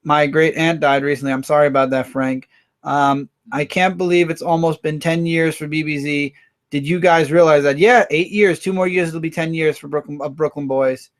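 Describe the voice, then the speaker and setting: A man hosting a casual livestream, rambling through viewer comments with quick asides. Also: on the high side, steady tone. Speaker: livestream host.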